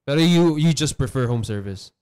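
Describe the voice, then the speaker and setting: A man's voice: mid-range voice, lively intonation, normal speed, a little mellow, clear, resonant tone. Casual male host echoing his guest. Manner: interviewer, echoes guest, swears casually. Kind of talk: casual podcast chat. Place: barbershop studio, indoors.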